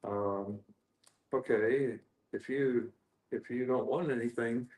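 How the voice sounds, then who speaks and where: A man telling an anecdote in a group discussion, halting and circling back to rephrase. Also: medium pitch, fairly even intonation, darkish tone. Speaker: discussion participant. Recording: echoey room.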